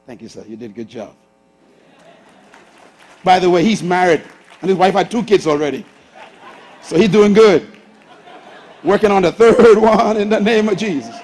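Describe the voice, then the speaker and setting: A Bahamian man in his sixties preaching a sermon on a church stage, swinging from loud bursts to hushed asides. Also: high-pitched, animated, normal speed, pauses often. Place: large church auditorium stage with audience.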